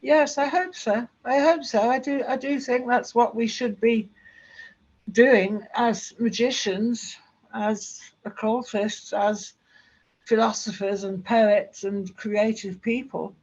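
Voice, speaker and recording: Gravelly, husky woman on a muffled line. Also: fairly high pitch, steady tone, slow pace, a little mellow. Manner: affirming, stammering repeats. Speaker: presenter. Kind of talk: discussion after a talk.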